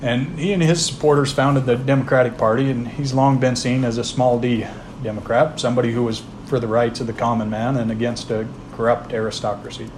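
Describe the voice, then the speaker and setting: A man hosting a podcast, talking through history in a plain, unhurried way with frequent "uh" fillers and restarts. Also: mid-range voice, steady tone, noisy background. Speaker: podcast host. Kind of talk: informal history talk.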